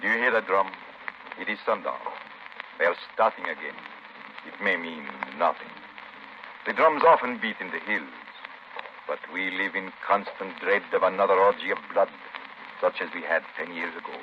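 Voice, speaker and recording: Grave plantation master, vengeful widower, 1930s radio drama, dull sound with faint noise. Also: male voice, low voice, expressive. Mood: grave, foreboding. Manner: formal, measured, solemn.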